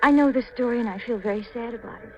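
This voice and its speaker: A woman's voice: fairly high pitch, racing, a little hiss or hum, lightly acted. An American woman playing an anxious young aspiring actress and reluctant accomplice in a 1950s radio mystery, earnest, hesitant and dramatic. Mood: earnest, pleading. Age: young adult. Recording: muffled, lo-fi 1950s radio recording.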